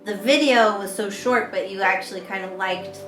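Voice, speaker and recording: Woman, high squeaky voice, chatty podcast co-host, echoey room, music underneath. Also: normal speed. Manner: chatty, laughs easily, trails off and restarts. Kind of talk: casual chat. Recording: roomy echo with music playing behind.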